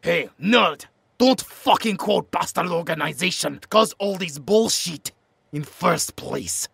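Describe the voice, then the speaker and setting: A man playing a gruff, foul-mouthed henchman in an audio drama, raspy, with blunt broken English. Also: sits high, expressive, unhurried, fairly bright tone, a little hiss or hum, exaggerated voice. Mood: angry. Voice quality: gravelly, raspy. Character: gruff, crude, foul-mouthed henchman with soft spot for children.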